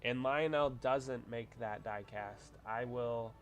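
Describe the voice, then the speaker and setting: A man's voice: mid-range voice, takes it slowly, faint music behind. Stuffy-nosed man chatting on a livestream. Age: adult with a wife. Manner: chatty, rambling, reads out viewer comments. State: congested from a cold. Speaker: livestream host. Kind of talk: casual livestream chat.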